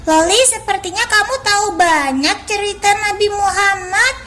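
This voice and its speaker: A woman's voice: very high-pitched, moderate pace, pushed and bright. A woman voicing Lili, a curious little girl who eagerly asks questions in a children's storytelling skit. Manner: asks wide-eyed questions. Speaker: kindergarten teacher.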